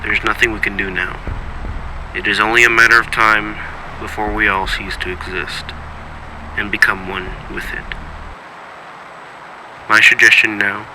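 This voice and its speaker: An actor playing a grave, despairing man who delivers a solemn, deliberate apocalyptic address to humanity. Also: fairly low pitch, noisy background, natural voice. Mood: hopeless, resigned. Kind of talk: dramatic scripted apocalyptic address. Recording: voice under loud music. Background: loud music.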